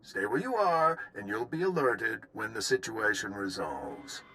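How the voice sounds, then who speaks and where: A man's voice: lowish voice, expressive, unhurried, sharp tone. An actor playing an officious male company director reading formal, bureaucratic staff announcements in a game. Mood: controlled urgency. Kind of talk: scripted public announcement.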